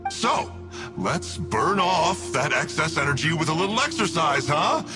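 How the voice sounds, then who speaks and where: Sly game villain, highish voice, lively swings, glib and taunting, music behind. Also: male voice, a little slow. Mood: smug, coaxing. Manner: glib, teasing, conversational. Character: sly, smug male villain of a dark order, taunting and theatrical. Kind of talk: fantasy video game voice acting.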